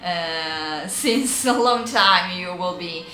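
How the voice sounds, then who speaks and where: Slow, hesitant Italian-accented woman. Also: lowish voice, echoey room, slight background noise. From Italy. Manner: hesitant, searching for words, frequent fillers and soft laughs. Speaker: vintage style vlogger and yoga teacher. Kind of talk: casual advice vlog.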